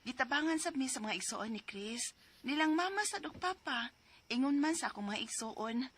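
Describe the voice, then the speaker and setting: A woman's voice: high-pitched, expressive, moderate pace, fairly bright tone, slight background noise. An actress playing a hurt, pleading middle-aged niece in a Cebuano radio drama, telling her troubles earnestly. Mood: eager, hopeful. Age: 40s. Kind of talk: radio drama acting and letter narration. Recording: music behind the voice.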